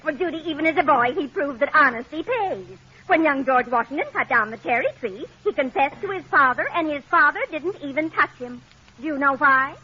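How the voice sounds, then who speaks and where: Bright-voiced, prim radio aunt. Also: female voice, sits high, fairly quick. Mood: patient, proper. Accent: American. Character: prim, patient, well-spoken aunt in radio comedy. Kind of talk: 1940s radio comedy acting. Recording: muffled old-time radio recording.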